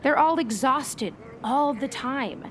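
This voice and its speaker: An actress playing an earnest, troubled meteorologist in a naturalistic radio play, speaking in a hesitant, reflective way. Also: female voice, high voice, lively intonation, slow, a little hiss or hum. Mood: impassioned. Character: earnest, troubled woman meteorologist and storm chaser.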